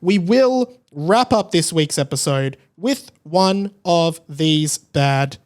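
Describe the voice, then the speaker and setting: A man's voice: a little high, expressive, slow. A man with an Australian accent hosting a podcast alone, talking casually in a rambling, conversational way. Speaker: podcast host. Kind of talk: casual solo podcast talk.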